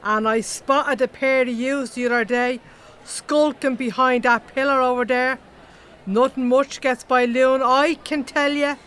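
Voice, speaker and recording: High-voiced, brash Irish gossip. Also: female voice, fairly even intonation, measured pace, a bit bright, smooth. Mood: teasing, gossipy. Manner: chatty, earthy, blunt, colloquial. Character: brash, gossipy, outspoken Irish animal-rights activist woman. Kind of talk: comedy audio drama acting.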